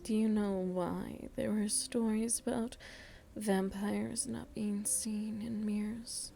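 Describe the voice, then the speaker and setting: Woman as a slow, whispery, seductive vampire. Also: medium pitch, fairly even intonation, a little hiss or hum. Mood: musing, intimate. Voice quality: soft, breathy, often dropping into whisper. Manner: slow, deliberate, musing, addresses listener tenderly. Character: seductive motherly female vampire, worldly, philosophical, gently teasing. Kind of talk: ASMR roleplay, intimate storytelling to the listener.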